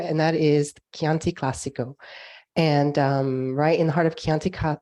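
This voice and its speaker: A woman's voice: deep voice, warm tone. A woman from California with a Californian accent, giving a slide lecture on a video call for a winery, chatty with frequent ums and uhs. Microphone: video call microphone.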